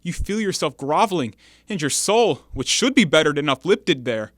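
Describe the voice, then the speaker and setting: A man's voice: on the high side, very animated, moderate pace, a bit bright, dry recording. A man acting an anguished, earnest former convict in an audio play, with formal, declamatory diction. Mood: bitter, anguished.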